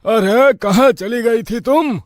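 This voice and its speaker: A man's voice: very high-pitched, takes it slowly, no echo, clean studio sound. A man playing a naive, kindly village shopkeeper in a horror story, mild and bewildered.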